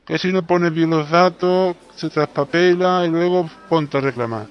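A boastful, absent-minded travelling salesman in a comic radio play, played by a man. His voice sits a little high, with an accent from Spain. He holds forth in an exclamatory, know-it-all way. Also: a little slow, clean tone, lo-fi sound, close to an everyday voice. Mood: confiding, know-it-all. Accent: Spanish (Spain).

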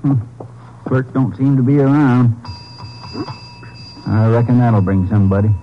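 A man's voice: measured pace, slight background noise, natural voice. A man playing a folksy, inquisitive small-town sheriff in a 1950s radio drama, plain-spoken. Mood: matter-of-fact. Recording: muffled, rumbly old radio recording.

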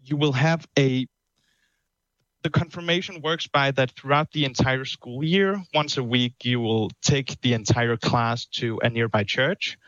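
A Danish man speaks as a caller over a muffled line. He pauses often and restarts his sentences. His voice sits at an ordinary pitch and sounds dry and close. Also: fairly bright tone, no room sound. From Denmark. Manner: hesitant, many ums and restarts. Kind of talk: call-in conversation.

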